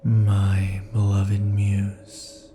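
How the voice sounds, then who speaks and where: A man's voice: lowish voice, completely flat delivery, drawn-out words, soft background music. A man as an obsessive immortal lover. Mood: tender possessive. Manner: soft, sighing, lingering murmur. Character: obsessive clingy immortal male lover, possessive. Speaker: ASMR roleplay performer. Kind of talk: ASMR roleplay.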